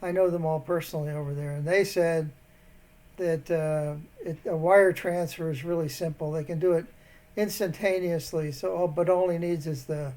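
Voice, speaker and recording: A man's voice: on the high side, steady tone, moderate pace, darkish tone. A man baiting a phone scammer, rambling and wandering off mid-sentence, growing more indignant as he goes. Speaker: scambaiter posing as an elderly bank customer. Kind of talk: prank phone call.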